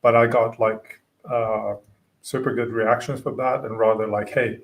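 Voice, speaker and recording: Gravelly low-voiced man, Swedish native speaker. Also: little pitch movement, far from the mic. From Finland. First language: Swedish and Finnish. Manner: halting, many fillers and restarts. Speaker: podcast guest, gym owner. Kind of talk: casual interview chat.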